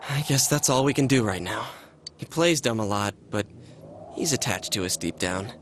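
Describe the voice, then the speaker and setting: A man's voice: lowish voice, lively intonation, normal speed, noisy background, lightly acted. A man voicing an earnest, restless teenage boy in a video game dub, casual and questioning. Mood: worried.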